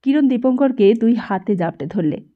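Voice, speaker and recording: A woman narrating a literary audiobook in an expressive, reflective storytelling manner. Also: fairly high pitch, normal speed, narrow, tinny audio.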